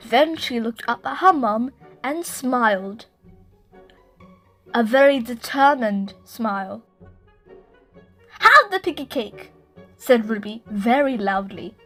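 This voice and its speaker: A woman reading a children's story aloud in an expressive narrating style. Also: a little high, slow. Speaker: storybook reader. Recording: roomy echo, music.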